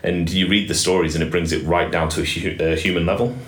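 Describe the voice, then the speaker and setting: A man's voice: low-pitched, steady tone, fast talker, a bit bright. A man who volunteers as a living history reenactor, talking earnestly and reflectively in a casual interview, with some restarts. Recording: echoey room.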